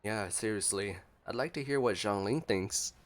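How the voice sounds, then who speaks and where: A man's voice: lowish voice, moderate pace. A man giving casual gameplay reaction commentary as a streamer, with dry, joking asides. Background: faint game music.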